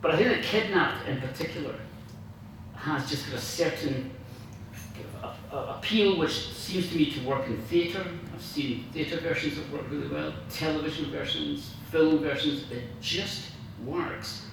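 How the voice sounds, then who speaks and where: A man's voice: fairly high pitch, a little slow, vocal fry. Enthusiastic man speaking in a very echoey room. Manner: enthusiastic, emphatic, searching for words. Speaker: guest speaker, radio dramatist. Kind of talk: informal after-dinner talk about writing. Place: large indoor room at a club dinner. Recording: very echoey room, distant mic, faint noise.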